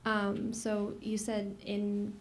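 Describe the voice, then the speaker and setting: Young woman, vocal fry, audience question, tentative, slight background noise. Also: medium pitch, completely flat delivery, extremely slow. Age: young adult. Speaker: audience member asking a question. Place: auditorium audience.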